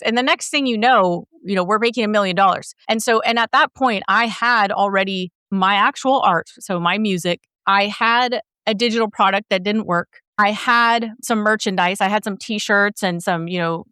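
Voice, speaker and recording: Middle-aged woman coach, chatty and encouraging, dry close sound, mid pitch. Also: pushed and bright, smooth, dry recording. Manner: talkative, encouraging, explains with examples. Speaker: business coach and host. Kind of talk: coaching conversation.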